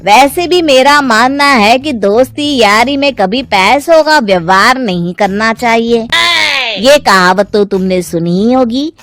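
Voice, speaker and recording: A woman voicing a cautious male office colleague who gives measured advice in an animated moral story. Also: a little high, crowd noise. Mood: cautious, advising. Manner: measured, advising. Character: cautious male office friend, lending reluctantly.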